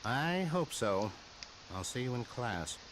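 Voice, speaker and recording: A man's voice: medium pitch, big pitch swings, slow. A man playing a stern, exasperated middle-aged science professor in a video game, admonishing with emphasis. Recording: game audio with clear background noise.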